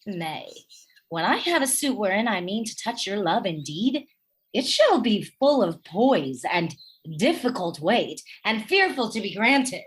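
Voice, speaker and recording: A woman playing an earnest, affectionate, persistent noble wife in a Shakespearean radio play, expressive and pleading. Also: mid-range voice, a little slow, rough recording. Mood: playful, dutiful.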